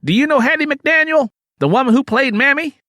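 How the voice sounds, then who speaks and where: A man doing a high, condescending old Southern storekeeper. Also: brisk, sharp tone, no echo, clean studio sound. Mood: condescending, falsely cordial. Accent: American South. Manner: patronizing, domineering, emphatic.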